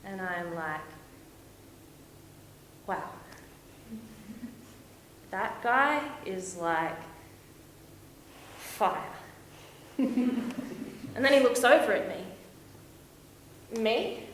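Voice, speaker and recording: A woman performing a stage monologue as a young, romantic, homesick backpacker, confiding and expressive with dramatic pauses. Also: medium pitch. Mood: excited, smitten. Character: young Australian woman backpacker, dreamy, lovestruck, heartbroken, homesick. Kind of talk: theatrical stage monologue, storytelling to an audience. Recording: echoey room with faint noise.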